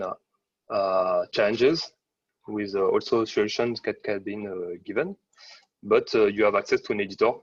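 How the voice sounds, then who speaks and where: Halting low-voiced man on a muffled distant mic. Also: no echo. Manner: halting, many fillers and restarts. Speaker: webinar presenter. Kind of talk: software demo presentation. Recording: dull, distant, dry sound.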